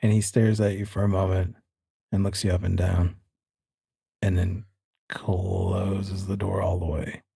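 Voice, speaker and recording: A man's voice: low-pitched, monotone, a little slow, creaky, long pauses, mellow, breathy edge, dry recording, studio quality. A man narrating as game master of a tabletop horror role-playing game, in a casual, teasing way.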